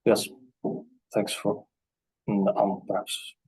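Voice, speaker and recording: Young man, low husky monotone, slow hesitant video-call intro. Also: low-quality recording. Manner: slow, hesitant, many fillers. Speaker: astrology student. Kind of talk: casual self-introduction.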